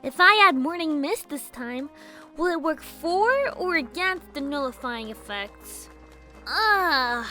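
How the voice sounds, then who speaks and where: Bratty little villain filly, super high n bright, sarcastic cartoon girl, fed up. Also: lively intonation, slow, faint music behind, exaggerated voice. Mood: scheming. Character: grumpy, scheming young filly villain, easily exasperated. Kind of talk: fan fiction audio drama acting.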